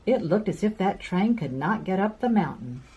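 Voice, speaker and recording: A woman in her sixties reading a picture book to young children with deliberate, sing-song emphasis. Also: medium pitch, expressive, mellow, breathy edge, highs cut off. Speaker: children's storyteller, host. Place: carpeted room at home.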